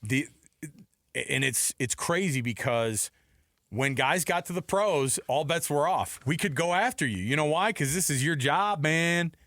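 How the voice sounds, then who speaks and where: A man's voice: a little high, lively intonation, measured pace, fairly bright tone, dry recording, studio quality. A middle-aged man co-hosting sports radio, giving emphatic opinions with rhetorical questions and repeated points.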